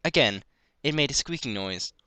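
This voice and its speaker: A man's voice: mid-range voice, animated, normal speed, bright, forceful tone, dry recording. A man narrating a piece of fan fiction, reading it aloud as a story.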